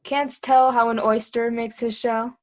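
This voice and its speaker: A woman's voice: on the high side, flat delivery, a little slow, close to an everyday voice. A woman playing a cheeky, riddling boy jester with a teasing, sing-song delivery in Shakespeare. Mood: teasing riddles. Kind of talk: Shakespearean reader's theatre acting.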